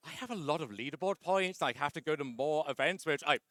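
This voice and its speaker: A man's voice: a little high, animated, fast, a bit bright, no echo, studio quality. A man being interviewed after winning a card tournament, chatty and wry, with lots of "uh" fillers. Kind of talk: casual post-match interview.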